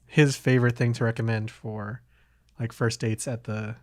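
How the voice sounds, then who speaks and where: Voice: medium pitch, level tone, normal speed, no room sound, studio quality. A podcast host chatting casually, with hesitant, tentative phrasing.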